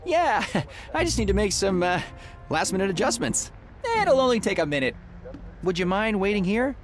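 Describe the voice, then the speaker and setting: An actor playing an earnest, excitable young rocket inventor in an adventure game, with a boyish, easily flustered, eager manner. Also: male voice, sits high, moderate pace, over music. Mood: awkward, eager.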